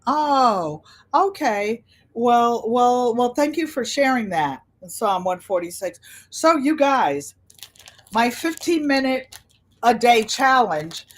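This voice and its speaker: A woman hosting a casual livestream chat in an unhurried, rambling way, drifting between her own talk and replies to viewers. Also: a little high, animated. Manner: unhurried, rambling, easily sidetracked. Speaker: livestream host, quilter.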